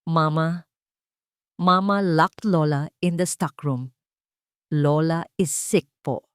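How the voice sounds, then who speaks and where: A frightened little girl in an audio story, put on by a woman. She speaks slowly and haltingly, close to tears, in a dry recording. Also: sits low, smooth, dry recording. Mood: scared, tearful. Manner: halting, near-whispered, broken words.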